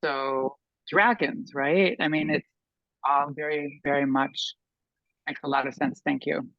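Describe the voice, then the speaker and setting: A man's voice: on the high side, steady tone, unhurried, no room sound. A man taking part in a group call, speaking in a thoughtful, musing way with trailing phrases. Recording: dull dry video call audio.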